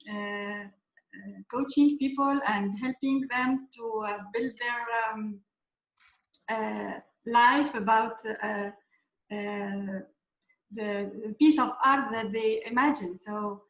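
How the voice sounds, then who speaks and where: A woman from Tunisia, a guest coach and former dentist, speaking haltingly with many fillers and restarts in a casual interview. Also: medium pitch. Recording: echoey room.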